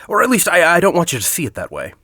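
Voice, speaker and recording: An actor playing a forty-year-old university headmaster in an audio drama, a secretive academic who speaks in a deliberate, articulate, confiding way. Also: male voice, a little high, wildly swooping pitch, sharp tone, no room sound, studio quality, exaggerated voice. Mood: guarded, challenging. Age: 40s. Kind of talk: audio drama dialogue and storytelling.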